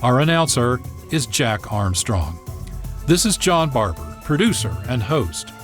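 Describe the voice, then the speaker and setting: A man reading scripted programme narration as a radio host, measured and formal. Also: medium pitch, sing-song, over music.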